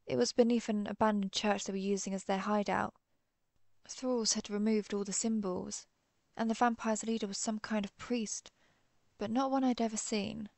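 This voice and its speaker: A woman playing an earnest, conflicted vampire hunter who is herself a vampire, speaking formally with hesitant pauses in a dramatic audio roleplay. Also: mid-range voice, steady tone, creaky, a little mellow, no echo, lightly acted. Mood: serious, questioning. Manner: formal, old-fashioned wording, hesitant pauses. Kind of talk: dramatic audio roleplay, one-sided dialogue.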